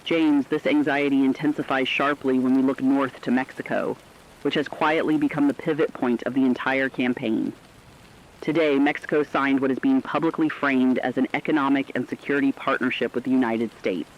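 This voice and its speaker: Man doing a serious radio correspondent, mid pitched, formal clipped phrasing, dull lo-fi emergency report. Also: fairly even intonation, normal speed, a little hiss or hum, close to an everyday voice. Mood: serious, urgent.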